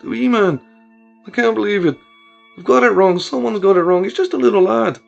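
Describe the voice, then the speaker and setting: A man's voice: high-pitched, a little fast, vocal fry, pitched above the performer's own voice. A man voicing a grieving young man who cries out in anguish. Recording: dull lo-fi sound with faint music.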